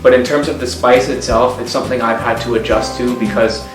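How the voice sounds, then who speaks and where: A man's voice: medium pitch, fairly even intonation, a little fast. A man talking casually as the co-host of a conversational vlog. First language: English. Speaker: video co-host. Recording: echoey room, distant mic, background music.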